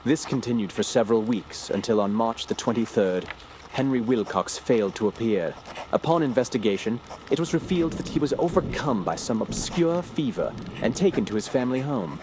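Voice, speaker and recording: An actor playing a grave male narrator recounting dark discoveries in a scripted horror audio drama, solemn and ominous. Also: medium pitch, lively intonation, a little fast, background noise, natural voice.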